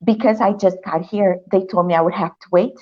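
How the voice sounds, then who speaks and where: A woman's voice: medium pitch, fairly even intonation, fairly quick, lo-fi sound. A young woman from Africa, raised in Portugal, speaking natively, reflecting earnestly as a guest in a testimonial interview. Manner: earnest, reflective, with many restarts. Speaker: program client, guest.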